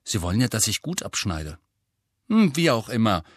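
A male narrator voicing a brisk, sensible, bossy teenage schoolgirl with a lecturing manner in an audiobook. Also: mid-range voice, animated, a bit bright, no room sound, studio quality, voice pushed up. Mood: brisk, cheerful.

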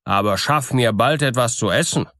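A man's voice: mid-range voice, normal speed, sharp tone, dry recording, studio quality, natural voice. Man doing a blunt, blustering, gullible miller. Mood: gruff, hospitable.

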